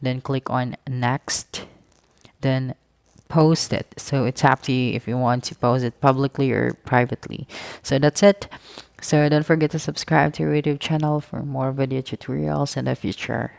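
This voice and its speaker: A tutorial host narrating step-by-step screen instructions in a smooth voice with some creak, recorded as a close voice-over. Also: medium pitch, fairly even intonation, normal speed, darkish tone, no echo.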